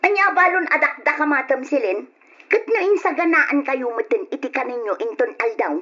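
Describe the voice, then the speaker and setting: High-pitched bossy aunt. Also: female voice, animated, normal speed, slight background noise. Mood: bossy, scolding. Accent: Ilocano. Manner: sharp, commanding. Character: bossy, stingy aunt scolding her young wards. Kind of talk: Ilocano old-time radio drama acting. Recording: dull lo-fi old radio drama recording.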